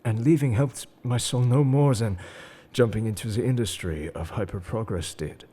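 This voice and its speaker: A man playing a suave, enigmatic engineer-agent in an intimate roleplay audio, breathy and halting as he confides old wounds with tender devotion. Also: mid-range voice, warm tone, lightly acted. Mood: bitter, guarded. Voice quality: breathy, husky, slipping into whispers. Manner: measured, confessional, halting. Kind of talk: intimate scripted roleplay audio addressed to the listener.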